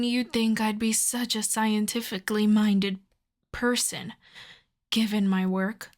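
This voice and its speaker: A woman playing a polite, coyly obsessive vampire lab technician in an ASMR roleplay, speaking softly and courteously, often breathily, straight to the listener. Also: a little high. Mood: playful, confiding. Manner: soft-spoken, courteous, measured, often breathy.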